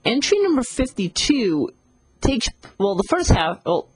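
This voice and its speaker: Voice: medium pitch, very animated, measured pace, slightly rough, no echo, poor audio. A reviewer giving a casual spoken audio review, rambling and often repeating phrases to restart. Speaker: series reviewer.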